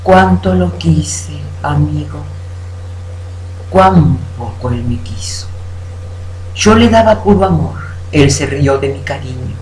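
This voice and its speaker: A woman declaiming a poem in an expressive, deliberate manner with dramatic pauses. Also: low-pitched. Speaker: poetry reciter. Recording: roomy echo with background hum.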